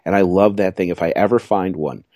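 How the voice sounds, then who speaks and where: Joking man with a low voice. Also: fairly quick, darkish tone, no echo, studio quality. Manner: jokey, rambling, self-mocking. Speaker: podcast co-host. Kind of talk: casual chat.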